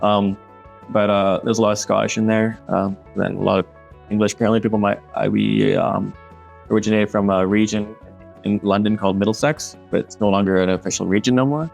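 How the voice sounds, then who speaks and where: A man's voice: on the low side, steady tone, a little fast, vocal fry, darkish tone, faint music behind. A young man in his mid-twenties co-hosting a casual podcast, talking hesitantly with lots of fillers and frequent laughs. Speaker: podcast co-host.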